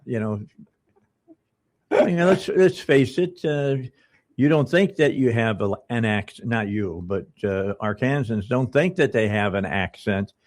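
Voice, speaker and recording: A man's voice: medium pitch, animated, a little slow, a little mellow. A man co-hosting a radio talk show, laid-back and teasing, chiming in with short agreements. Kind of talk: casual political chat.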